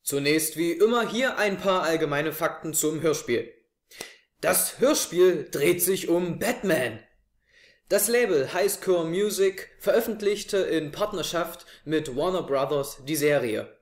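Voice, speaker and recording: Young man, host, slightly high pitch, scripted presenting, roomy camera-mic sound. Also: animated, unhurried. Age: young adult. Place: small living room, on a sofa. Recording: echoey room, camera mic.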